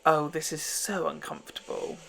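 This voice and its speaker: A host reacts casually to a television show in an informal, exclamatory way. The voice sits at a medium pitch, and the show's music plays clearly behind it. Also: level tone, normal speed, rough recording. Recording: TV show soundtrack playing behind.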